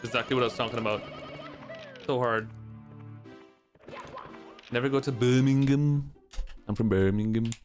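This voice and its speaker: A man's voice: mid-range voice, fairly even intonation, moderate pace, pauses often, faint music behind. A man in his thirties, raised in Trinidad, who speaks with an adopted American accent. He chats casually with his viewers while playing a game, sarcastic and often profane, with frequent restarts. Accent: American, adopted over years of streaming. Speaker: streamer and speedrunner. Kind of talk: casual chat while gaming and reading chat.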